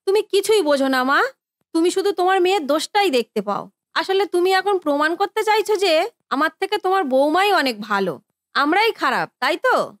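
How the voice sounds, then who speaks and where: A woman's voice: very high voice, animated, normal speed, dry recording, clean studio sound. A woman voicing a touchy, defensive married eldest daughter in a Bengali family cartoon, with an emphatic, complaining manner. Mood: offended.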